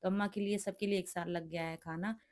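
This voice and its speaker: A middle-aged woman with a hoarse, cracked voice from a sore throat, chatting through a home cooking vlog and explaining each step. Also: fairly low pitch, steady tone, brisk, darkish tone. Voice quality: cracked, rough voice. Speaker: vlogger cooking for guests. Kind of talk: casual cooking vlog narration.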